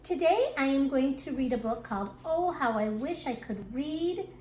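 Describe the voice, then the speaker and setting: A woman kindergarten teacher reading a rhyming picture book aloud to young children in an expressive, deliberate storytelling manner. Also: high voice. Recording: echoey room with clear background noise.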